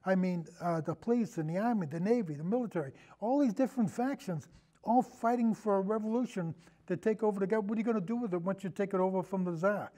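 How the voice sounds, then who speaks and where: An older man talking casually about film as a guest, in a rambling, halting way that trails off. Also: high voice, warm tone. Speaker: podcast guest, longtime radio show host.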